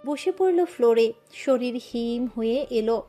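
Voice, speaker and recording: A woman storyteller narrating a scripted romance. Her voice is mellow and slightly high, and her reading is expressive and emotive. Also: a little slow, faint music behind.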